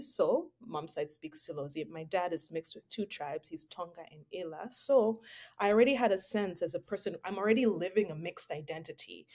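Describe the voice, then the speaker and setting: A woman from Zambia speaks as an interview guest, reflective and unhurried, with easy laughs between her points. Her voice sits a little low for a woman. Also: a little mellow. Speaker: guest, playwright and actor. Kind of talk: casual interview chat.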